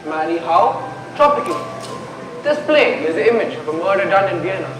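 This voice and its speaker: Brooding, mocking stage prince played by a man, high and animated, echoey hall. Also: fairly quick, music in the background. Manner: theatrical declamation. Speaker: amateur stage actor. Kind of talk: Shakespearean stage acting.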